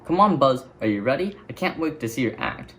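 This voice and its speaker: Man reading as admiring cowgirl toy, lively. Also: medium pitch, brisk, a little hiss or hum, close to an everyday voice. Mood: excited calling.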